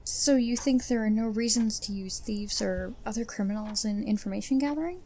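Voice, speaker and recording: A woman's voice: mid-range voice, brisk, mellow, a little hiss or hum, lightly acted. A woman playing a tactful, wry adventurer interviewing recruits in a fantasy audio drama.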